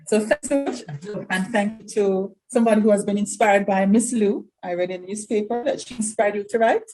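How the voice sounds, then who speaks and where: A woman moderating a panel discussion, explaining points clearly and with emphasis. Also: medium pitch, fairly even intonation, fairly quick, mellow, poor audio.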